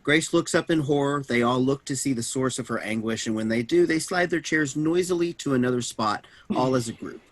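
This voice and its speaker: A man's voice: medium pitch, a little fast, few pauses, low-quality recording. A man reading stage directions as scripted narration for a staged play reading.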